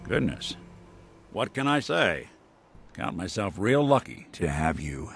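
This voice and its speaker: An American actor playing a weary old android detective in a video game, with wry, halting, noir-style delivery. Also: male voice, deep voice, very animated, soft background music, heavily acted. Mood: warm, wry.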